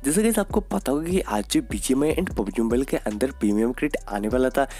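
A man's voice: medium pitch, animated, a little fast, mellow. A man with an Indian accent giving casual, explanatory gaming news as the host, addressing viewers directly with repeated filler phrases. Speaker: gaming video creator and host. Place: voice-over on game screen recording.

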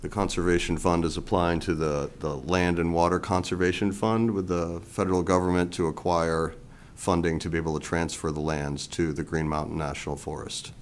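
An older man in his sixties speaks into a table microphone in a meeting room. His voice is very deep and flat, delivered loud and plain-spoken. Faint room noise is heard behind him. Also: a little fast. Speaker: federal forest service representative presenting to a board.

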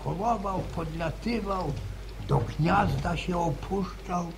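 An actor playing an older, superstitious village grandfather who tells stories with halting restarts, in a 1950s radio drama. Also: high-pitched, creaky, heavily acted. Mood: stubborn. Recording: noisy old radio broadcast recording.

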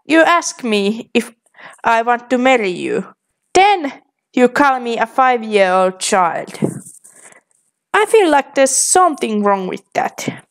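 A young woman reads her own typed chat messages aloud, one careful word at a time. Her voice sits a little high for a woman, and her delivery is very slow and deliberate. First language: Finnish. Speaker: vlogger. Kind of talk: casual commentary reading chat aloud.

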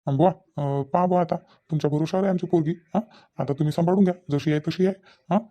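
Man acting an earnest, fast-talking village father. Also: on the high side, fairly even intonation, dull audio, lightly acted. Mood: pleading, reasoning. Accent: rural Maharashtrian, Vidarbha. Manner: earnest, reasoning, repeats himself, questioning tags. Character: concerned middle-aged village father of a married daughter. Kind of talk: Marathi comedy cartoon dialogue.

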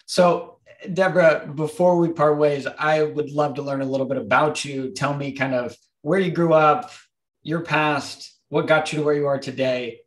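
A man's voice: fairly high pitch, steady tone, moderate pace, rough recording. A middle-aged man hosting a podcast interview over video call, upbeat and encouraging. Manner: encouraging, upbeat, frequent affirmations. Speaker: podcast host. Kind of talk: interview host. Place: home office, small room.

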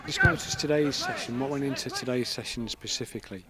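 A man's voice: mid-range voice, fast talker. A man interviewing a football coach with brief, direct questions from off camera.

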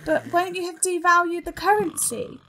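A woman's voice: very high voice, fairly even intonation, moderate pace, pushed and bright, slight background noise, exaggerated voice, low-quality recording. A woman playing a chatty, sycophantic demon servant in an audio comedy drama, speaking with eager deference. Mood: anxious, cautioning. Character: Crabby, tipsy sycophantic demon minion of Satan.

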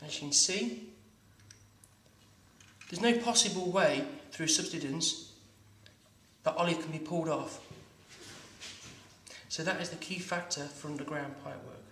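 A man's voice: fairly high pitch, a little slow, long pauses, a bit bright, far from the mic, a little hiss or hum. Man teaching plumbing, echoey room. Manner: practical, instructive, stumbling restarts. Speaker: plumbing instructor. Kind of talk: hands-on demonstration lesson. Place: training workshop room. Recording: echoey room, voice a bit off-mic.

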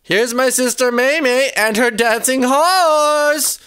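A woman's voice: lowish voice, sing-song, a little slow, a bit bright, clean tone, dry recording, studio quality. A woman voicing a bossy, theatrical stage-singer sister in a children's story, with a matter-of-fact way of talking. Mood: showy, composed.

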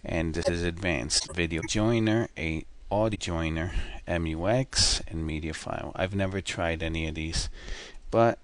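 Deep-voiced man walking through software. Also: a little slow, hardly any pauses, a little hiss or hum. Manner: relaxed, rambling, with fillers and self-corrections. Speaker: software tutorial host. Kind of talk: casual screen-recorded tutorial.

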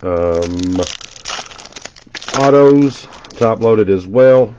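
Brief: congested male host, lowish voice, quick chatty card-break patter, dull lo-fi audio. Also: lively intonation, mellow, a little hiss or hum. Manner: rattles off player names rapidly, chatty asides. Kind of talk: casual live-stream commentary while opening packs. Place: indoor room at a table.

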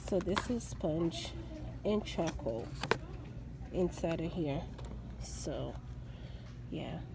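A woman's voice: deep voice, animated, drawn-out words, creaky. A woman casually walking through a store aisle, reading product labels aloud with fillers, filmed on a handheld phone. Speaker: vlogger. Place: large discount store aisle, indoors. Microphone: handheld phone camera. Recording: phone video with loud store noise.

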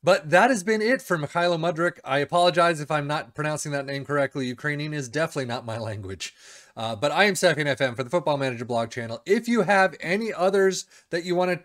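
A man's voice: on the high side, fast talker, fairly bright tone. Chatty man reading game stats. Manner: chatty, reads stats aloud with asides. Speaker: video game YouTuber. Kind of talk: casual commentary on a game save. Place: small room indoors.

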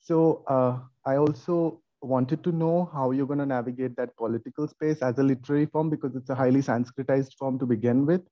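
A man with an Indian accent giving academic comments and questions in a halting, thinking-aloud manner at an online conference. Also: medium pitch, steady tone, no room sound. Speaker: conference panel audience questioner. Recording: muffled lo-fi online call.

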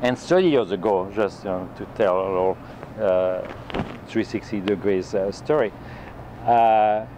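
A man in his sixties with a French accent, a chef reminiscing haltingly in a documentary interview. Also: lowish voice. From France. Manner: halting, warm reminiscing with many fillers. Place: restaurant patio outdoors. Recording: noisy outdoor street ambience.

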